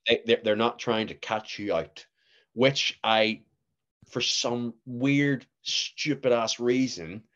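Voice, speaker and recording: A young man with a Northern Irish accent, a guest actor telling stories in a casual podcast chat, animated and laughing often. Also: mid-range voice, takes it slowly, lo-fi sound. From Northern Ireland.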